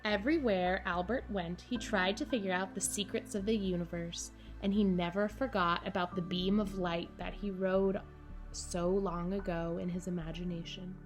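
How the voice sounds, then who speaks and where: With music playing behind her, a woman reads to children in storybook style. Her delivery is expressive and playful, and she speaks to her young listeners directly. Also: on the low side, moderate pace. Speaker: camp director reading a picture book to children. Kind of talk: children's storybook read-aloud. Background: background music.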